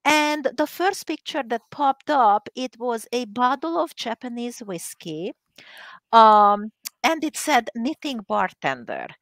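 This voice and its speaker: A woman with a Hungarian accent talks as a guest on a casual podcast. Her voice sits fairly high, and she chats in a good-humored way. The sound is dry and close. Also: sing-song, takes it slowly, a bit bright, no room sound. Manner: chatty and good-humored, laughs often. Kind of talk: casual chat.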